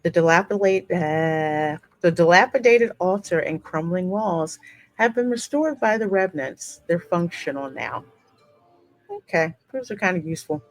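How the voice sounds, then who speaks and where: A woman hosting a gaming channel, reading game text aloud and adding expressive, informal commentary. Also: lowish voice, normal speed, no echo, soft background music, low-quality recording.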